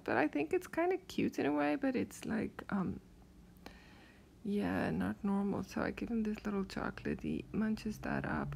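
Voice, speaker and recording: A woman with a South African accent rambling softly through a strange dream, often half-whispering and hesitating with many fillers. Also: medium pitch, vocal fry, slight background noise. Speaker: vlogger.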